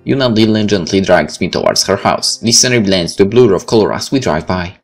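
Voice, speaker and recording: Animated man. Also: low-pitched, brisk. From Poland. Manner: expressive, blunt, swearing. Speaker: let's play host. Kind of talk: reading visual novel text aloud with off-the-cuff reactions. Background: game music.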